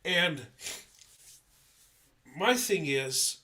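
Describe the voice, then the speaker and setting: A man's voice: on the high side, expressive, very slow, pushed and bright. A congested man hosting a casual livestream, talking in a rambling, hesitant way with many fillers and restarts. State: congested, stuffy nose. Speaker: livestream host.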